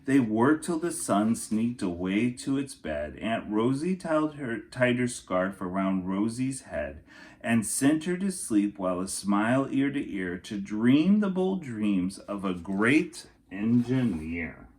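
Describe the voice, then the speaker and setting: A man's voice: lowish voice, big pitch swings, slow, darkish tone. A man reading a storybook aloud as a teacher, expressively, speaking directly to young children.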